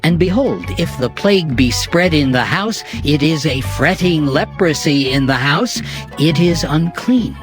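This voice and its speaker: A man's voice: fairly high pitch, expressive, measured pace. A man narrating scripture in a formal, declarative manner. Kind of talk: dramatized Bible narration. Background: faint music.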